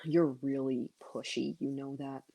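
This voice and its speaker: Girl doing a low grumbly sarcastic guy, guarded, mutters a lot, dry close audio drama. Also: steady tone, unhurried, vocal fry, warm tone, no room sound, full character voice, low-quality recording. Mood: reluctant, grumbling. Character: guarded, grumbling, sarcastic young man, secretly soft. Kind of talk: audio fan fiction acting.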